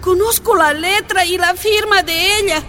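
An actress playing a terrified pregnant prisoner in a radio drama, crying and pleading in protest. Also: female voice, very high voice, moderate pace, bright, forceful tone, a little hiss or hum. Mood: tearful protesting. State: crying, distressed.

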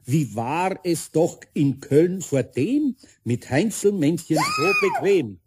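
A man's voice: a little high, wildly swooping pitch, slow pace, slightly rough, no echo, full character voice. A man playing a good-natured, grumbly, bewildered older master carpenter with a Bavarian accent in a radio play. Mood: puzzled.

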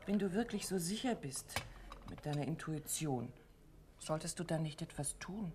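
An actress playing a detective's quick, inquisitive wife who banters and needles her husband, in a 1950s radio play. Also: sits low, animated, background noise. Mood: coaxing.

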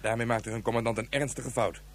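An actor playing a cold, menacing colonel who speaks in measured, ominous maxims in a radio play. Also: male voice, mid-range voice, fairly even intonation, a little hiss or hum, lightly acted. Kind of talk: Dutch radio play acting.

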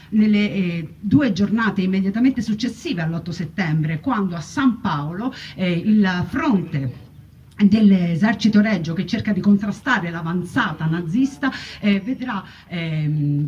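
A woman's voice: medium pitch, darkish tone. A woman performing a narrative monologue as a storyteller, with emphatic, rhetorical repetition and wry irony. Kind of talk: theatrical narrative monologue on wartime history. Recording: muffled, distant mic with background noise.

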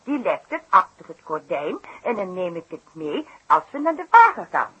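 Husky, animated circus assistant. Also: female voice, fairly low pitch, moderate pace, full character voice, rough recording. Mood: explaining. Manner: lively, exclamatory, French interjections. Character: excitable, outspoken female circus assistant, French-speaking. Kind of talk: 1960s radio play acting.

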